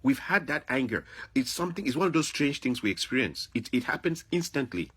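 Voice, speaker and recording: A man's voice: medium pitch, very animated, fairly quick, fairly bright tone, husky. A man from Africa, delivering an opinionated, confrontational monologue to another person, repeating phrases for emphasis. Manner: confrontational, admonishing. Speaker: vlogger.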